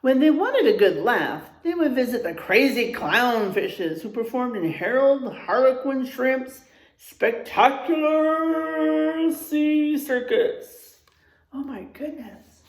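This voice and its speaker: A woman teacher reading a children's storybook aloud in an expressive way, adding explanations and checking in with her young listeners. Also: sits high, takes it slowly, creaky. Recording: roomy, echoing room sound.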